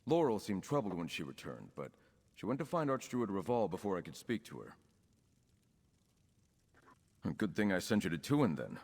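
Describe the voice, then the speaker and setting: An actor playing an earnest, composed young nobleman in a video game, speaking in a formal, measured way. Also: mid-range voice, slightly rough, long reverb tail. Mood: concerned, courteous. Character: young nobleman, count's son with druid leanings, earnest and composed. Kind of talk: scripted video game dialogue.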